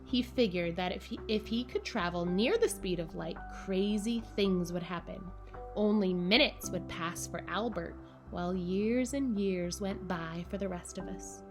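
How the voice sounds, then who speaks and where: With music playing behind her, a woman reads to children in storybook style. Her delivery is expressive and playful, and she speaks to her young listeners directly. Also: mid-range voice, measured pace. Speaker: camp director reading a picture book to children. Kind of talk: children's storybook read-aloud. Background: background music.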